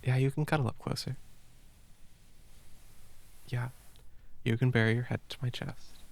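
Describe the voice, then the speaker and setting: A man playing a gentle, doting older brother in an intimate ASMR roleplay, speaking softly and tenderly and slipping into whispers. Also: medium pitch, fairly even intonation, unhurried, gravelly. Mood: tender, reassuring. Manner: soft, hushed, tender, breaking into whispers. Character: gentle, doting older brother comforting his little sibling.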